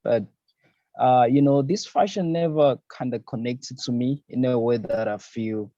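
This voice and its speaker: Laid-back man from Zambia, mellow voice. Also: medium pitch, measured pace, dry recording. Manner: easygoing, many fillers and "you know, man". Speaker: fashion designer, podcast and radio host. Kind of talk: relaxed interview answers. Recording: dull lo-fi sound.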